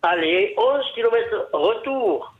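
A man's voice: sits high, animated, takes it slowly, roomy sound. A man from southern France with a southern French accent, calling into a radio show and speaking bluntly and jovially. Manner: blunt, jovial, repeats points. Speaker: radio caller. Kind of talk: call-in phone comment. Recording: tinny phone line.

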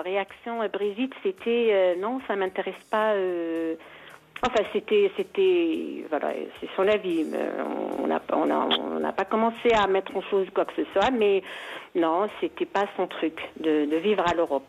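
A woman's voice: on the low side, moderate pace, creaky, slight background noise, rough recording. A woman from Algeria calling in to tell a personal story, hesitant and full of restarts. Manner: hesitant, many restarts and fillers. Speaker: caller.

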